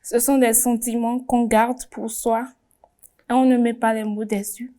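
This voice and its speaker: Slow, tearful young-sounding woman reading. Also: a little high, level tone, warm tone. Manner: slow, solemn, emotional reading. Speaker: pageant candidate. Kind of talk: reading a heartfelt letter aloud.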